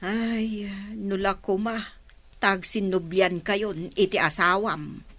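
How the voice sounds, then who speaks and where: A woman's voice: medium pitch, fairly even intonation, slow, fairly bright tone. A woman playing a concerned, chiding older mother with an Ilocano accent in a radio drama. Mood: chiding, worried. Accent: Filipino, Ilocano. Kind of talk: Philippine radio drama acting.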